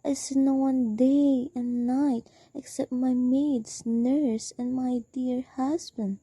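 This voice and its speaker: Young woman playing an anxious wife, dark soft tone. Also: sits high, fairly even intonation, slow, husky, no room sound, exaggerated voice. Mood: uneasy, defensive. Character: anxious, demanding invalid wife, alone at night. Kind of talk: student radio drama acting.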